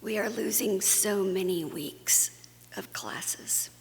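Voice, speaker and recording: A woman's voice: medium pitch, slow pace. A woman from Guatemala giving an earnest, conversational conference talk, with fillers and restarts. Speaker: conference speaker. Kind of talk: plenary talk to a faith audience. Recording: long hall reverb, faint hum.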